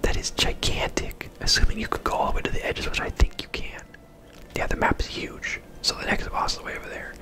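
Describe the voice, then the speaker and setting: A man giving casual, unhurried ASMR game commentary in a conversational whisper as he plays. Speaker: ASMR gaming host.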